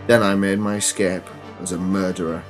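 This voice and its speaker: Teenage boy hosting a podcast with a bunged-up nose from a cold and a lowish voice. Deadpan storytelling and rambling, hesitant chat. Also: moderate pace, soft background music. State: congested from a cold. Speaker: podcast host. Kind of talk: comedy storytelling and casual chat.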